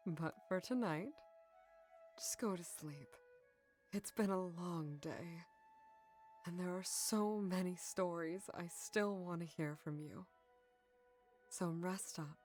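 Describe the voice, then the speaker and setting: A woman's voice: on the low side, takes it slowly, pauses often, a little mellow, faint music behind. A woman playing a tender, knowing middle-aged mother in an audiodrama, soothing and affectionate. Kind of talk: audiodrama acting.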